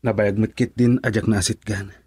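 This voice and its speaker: A husky-voiced male actor as a troubled father in an Ilocano radio drama. He is earnest and sounds like a grown man, recorded dry with no room around him. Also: fairly low pitch, fairly even intonation, fairly quick, warm tone, no room sound. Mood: troubled, pleading.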